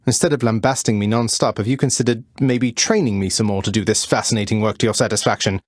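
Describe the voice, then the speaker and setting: An actor playing a young, rebellious prince of the underworld in a video game, earnest and wry, with polite understatement and dry sarcasm. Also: male voice, fairly low pitch, steady tone, fast talker, no echo, close to an everyday voice. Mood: exasperated, sarcastic. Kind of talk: video game character voice lines.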